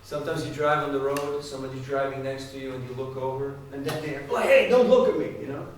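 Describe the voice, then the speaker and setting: A man's voice: medium pitch, quick pace. A man giving a devotional talk to a live audience, speaking conversationally and asking his listeners direct questions. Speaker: spiritual speaker. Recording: echoey hall, distant mic, faint noise.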